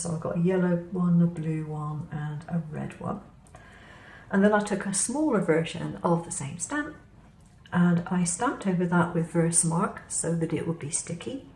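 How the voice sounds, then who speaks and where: A woman with a slightly hoarse voice, chatting casually as she demonstrates craft materials at her table, in an unhurried way. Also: on the low side, mellow. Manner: unhurried, chatty explaining while handling materials. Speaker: craft vlogger. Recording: roomy echo with faint background hum.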